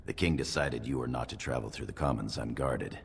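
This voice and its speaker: Very deep gravelly dwarven attendant. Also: male voice, fairly quick, slight background noise. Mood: apologetic, explaining. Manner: deferential. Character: loyal male dwarven warrior attendant, respectful, plain-spoken. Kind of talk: video game acting.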